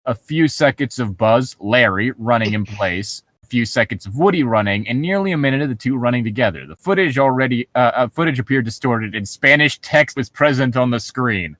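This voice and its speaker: A man's voice: mid-range voice, lively intonation, brisk, hardly any pauses, fairly bright tone, no echo. Wry man reading and riffing, dry close sound. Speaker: podcast co-host reading and mocking internet horror stories. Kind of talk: reading aloud with casual joking commentary.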